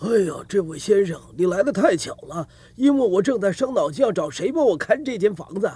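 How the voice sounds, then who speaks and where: An actor playing a genial, wealthy middle-aged villa owner in a folk-tale cartoon, with a husky voice and effusive, courteous delivery. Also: male voice, high voice, animated, fairly quick, warm tone, slight background noise. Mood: jovial welcome.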